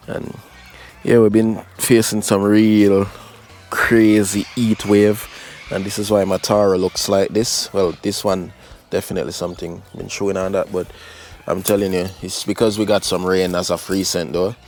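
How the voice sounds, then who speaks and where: A man from Jamaica with a Jamaican accent, chatting casually in his backyard garden as a channel host, laid-back and full of fillers. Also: low-pitched. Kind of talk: casual garden vlog chat.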